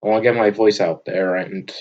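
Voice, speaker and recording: A young man from Vermont with a loud, booming voice, talking off the cuff in an unscripted audio log, rambling with lots of filler words. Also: fairly even intonation, fairly quick, highs cut off. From Vermont, USA. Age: young adult. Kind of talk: unscripted casual monologue. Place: at his desk, indoors. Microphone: computer microphone on a desk.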